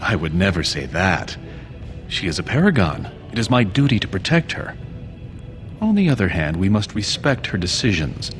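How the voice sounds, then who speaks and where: An actor playing a scheming, ambitious dwarven prince in a fantasy video game, speaking in a formal, measured and persuasive way. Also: male voice, sits low, big pitch swings, soft background music. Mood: slyly formal.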